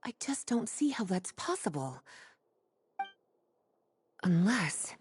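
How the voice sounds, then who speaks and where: Casting: coy, languid female librarian, anime game, mellow tone, lively intonation. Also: fairly low pitch, slow, breathy edge, no echo, exaggerated voice. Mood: teasing. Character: sultry, teasing, worldly adult female librarian.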